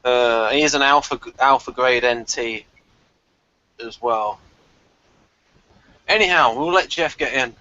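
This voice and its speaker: A man's voice: mid-range voice, a little slow, pauses often, pushed and bright, muffled recording. A man hosting a casual panel discussion, explaining things in a chatty, emphatic way. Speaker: host of a TV show review discussion.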